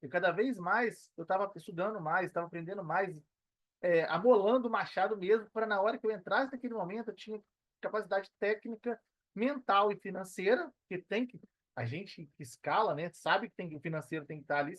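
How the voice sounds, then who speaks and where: High-voiced Brazilian man, dry close sound. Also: fairly quick, fairly bright tone, no echo. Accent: Brazilian Portuguese. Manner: conversational, slangy, repeats phrases for emphasis. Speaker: podcast guest, digital marketer. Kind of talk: casual interview talk.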